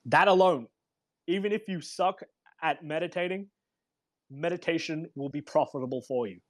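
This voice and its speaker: A man with a husky voice hosting a read-aloud, delivering a book in an emphatic, deliberate way with informal commentary. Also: fairly high pitch. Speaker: host reading a self-help book aloud. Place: live online audio room broadcast.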